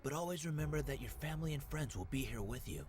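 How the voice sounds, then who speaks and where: Lady putting on a soft old grandpa voice, super lively and kind. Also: low-pitched, fast, over music, pitched below the performer's own voice. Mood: earnest. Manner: soft reassuring storytelling delivery. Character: kind gentle elderly grandfather, warm and wise.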